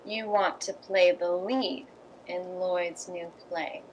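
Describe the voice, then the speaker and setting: A woman's voice: on the low side, steady tone, slow pace, muffled recording, a little hiss or hum. A woman playing a loyal, uneasy, genteel playwright's wife in a radio drama. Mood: guarded, dismayed. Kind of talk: recreated 1950s radio drama acting.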